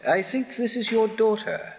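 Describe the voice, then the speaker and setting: An actor playing a genial, courtly prince in hearty Shakespearean stage style. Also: male voice, sits high, fairly even intonation, normal speed, lightly acted. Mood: jovial, courteous. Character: genial, jovial visiting prince. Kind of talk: theatrical Shakespearean stage acting.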